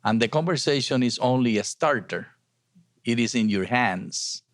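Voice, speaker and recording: A man of average pitch speaks as an academic host in a reflective, earnest introduction. He places short phrases deliberately and with emphasis. The recording is dry and close. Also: expressive, dry recording. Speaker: academic lecture series host.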